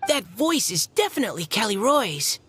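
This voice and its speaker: Lively-toned scholarly young adventurer. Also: female voice, medium pitch, normal speed, a little hiss or hum. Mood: explanatory. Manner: articulate, analytical. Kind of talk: anime game character acting. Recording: music behind voice.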